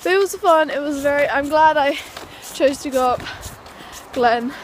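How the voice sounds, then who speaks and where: A woman's voice: high voice, normal speed. A young woman chatting to the camera as a vlogger, upbeat and talkative, often while hiking outdoors. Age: young adult. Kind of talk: casual vlog chat. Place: outdoors on a windy hillside and indoors. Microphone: phone held at arm's length. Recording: noisy phone recording.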